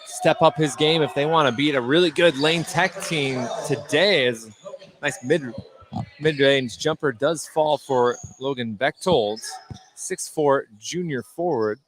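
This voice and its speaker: A man doing live play-by-play basketball commentary for radio from a high school gym. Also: a little slow, fairly bright tone, rough recording. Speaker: play-by-play announcer. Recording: faint gym noise, bouncing basketball.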